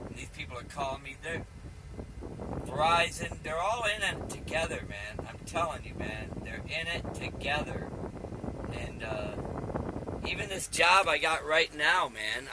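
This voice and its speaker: A man's voice: on the high side, moderate pace, background noise. A man in his fifties giving a rambling religious vlog monologue, earnest and insistent, with folksy phrasing that keeps addressing listeners directly. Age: 50s. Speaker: video channel host.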